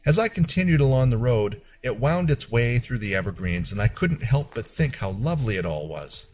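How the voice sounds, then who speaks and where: A man reads a fantasy tale as an audiobook narrator. His delivery is measured and expressive, with pitch that moves widely across each phrase. The voice is mid-pitched and slightly husky. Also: rough recording. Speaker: story narrator. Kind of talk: dramatic fantasy audiobook narration in first person.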